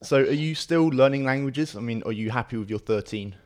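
A man's voice: medium pitch, brisk. A man hosting a podcast interview, asking questions in an enthusiastic, encouraging way. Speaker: podcast host.

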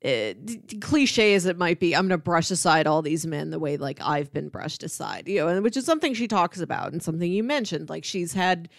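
A woman's voice: on the low side, lively intonation, brisk, vocal fry, few pauses, dry recording. Woman chatting analytically, close dry sound. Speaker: podcast co-host discussing a film. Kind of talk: casual conversational film analysis.

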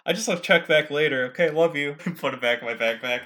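A man's voice: on the high side, fast, pushed and bright. A man playing a tabletop role-playing game, improvising casually in a jokey, sweary way.

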